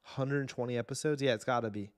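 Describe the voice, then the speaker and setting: A man of average pitch banters casually as a podcast co-host. He talks in short bursts and tends to repeat his own phrases. The recording is dry, with the voice close to the microphone. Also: quick pace, dry recording.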